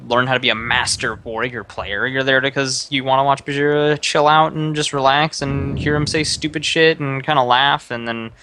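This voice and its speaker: Young man, streamer, bright voice, faint hiss behind, rambling advice, casual chat. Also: medium pitch, fairly even intonation, normal speed, hardly any pauses. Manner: rambling, many fillers and restarts, advising.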